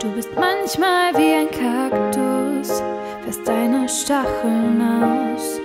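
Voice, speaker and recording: A woman's voice: high-pitched, very slow, loud music behind the voice. A woman singing a love song. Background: loud musical backing.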